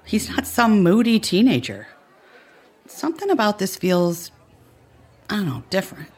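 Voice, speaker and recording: A woman's voice: mid-range voice, very animated, normal speed, darkish tone, breathy edge. A middle-aged woman playing a frank, concerned family friend in a naturalistic audio drama. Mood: concerned, blunt. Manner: plainspoken, frank. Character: husky older female family friend, concerned, blunt.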